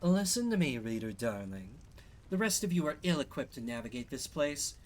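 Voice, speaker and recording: A man's voice: medium pitch, sing-song, moderate pace, close to an everyday voice. A man voicing a sly, flirtatious winged harpy woman from a game, with a teasing manner. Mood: coy. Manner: teasing, saying darling.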